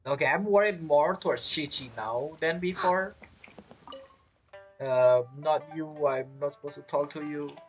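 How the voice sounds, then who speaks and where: A man giving casual let's play commentary as a streamer, halting and repeating his phrases as he reacts to the characters. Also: on the high side, soft background music. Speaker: game streamer.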